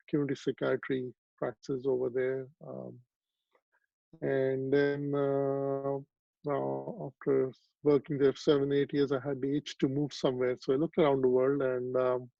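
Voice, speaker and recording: A man's voice: medium pitch, vocal fry, dry recording, muffled recording. A man, telling his story reflectively in an interview over a video call, with many ums and restarts. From Pakistan. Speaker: psychiatrist guest.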